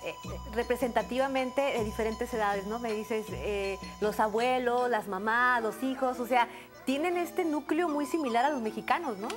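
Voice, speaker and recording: Chatty female TV co-host, higher voice. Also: normal speed, over music. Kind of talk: TV studio interview. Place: TV studio.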